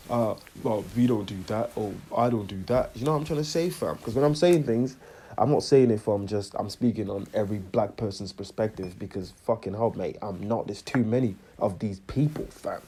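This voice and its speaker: Young guy ranting on his vlog, lots of slang, darkish mellow tone. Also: lowish voice, breathy edge. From Congo. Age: young adult. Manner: slangy, animated, repeats "you know what I'm trying to say". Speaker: vlogger. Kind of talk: casual storytelling rant.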